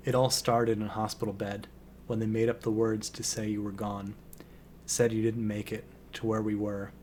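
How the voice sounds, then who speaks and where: A man with a slightly hoarse voice, hosting a relaxed poetry reading and hesitating often with ums and restarts. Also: lowish voice, a little hiss or hum. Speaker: poetry channel host. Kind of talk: casual intro and poetry reading.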